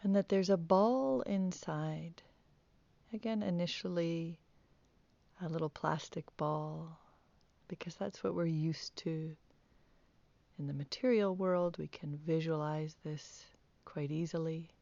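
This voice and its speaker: A woman teaching guided meditation, speaking softly with calm, gentle instructions and long pauses. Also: sits low, creaky, dry recording, dull audio.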